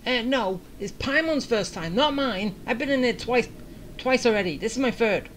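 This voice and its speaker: A woman's voice: on the high side, animated, normal speed, a little hiss or hum. A woman giving casual commentary as she plays through a game story, reacting aloud. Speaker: gamer playing through a story.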